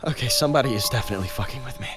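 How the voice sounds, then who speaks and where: A man's voice: mid-range voice, very fast, over music, lightly acted. An actor playing a bewildered, irritable young man in a horror video game, sarcastic and exasperated. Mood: uneasy, irritated.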